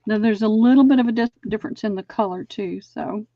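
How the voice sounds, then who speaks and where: A woman's voice: mid-range voice, quick pace, no room sound. Chatty Southern woman selling fabric. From Georgia, US. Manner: chatty, folksy, describes fabric while measuring. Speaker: live sale host. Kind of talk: casual live-stream selling. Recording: dull, dry lo-fi stream audio.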